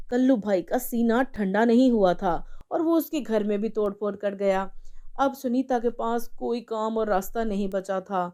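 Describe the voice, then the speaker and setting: A woman's voice: fairly high pitch, warm tone. A woman narrating a scripted story as the storyteller.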